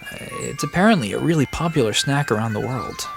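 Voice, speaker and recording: A laid-back, bookish storyteller played by a man in an audio drama. His medium-pitched voice explains old legends in a casual, dry way, like chatting with a friend. Faint music plays behind him. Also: lively intonation, fairly quick, vocal fry. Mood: amused, informative. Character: Sam, laid-back bookish male myth-telling park resident.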